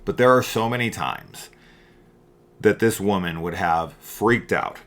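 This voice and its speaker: A man's voice: low voice, measured pace, fairly bright tone. A middle-aged man hosting a casual film review, rambling and opinionated, with emphatic points.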